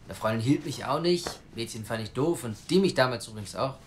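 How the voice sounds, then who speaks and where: An earnest, self-assured male journalist in an audio drama, played by an actor. He argues insistently and articulately in a mid-pitched voice, with faint ambience behind him. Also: expressive, fairly quick, slight background noise, close to an everyday voice. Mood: candid. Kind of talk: naturalistic audio drama acting.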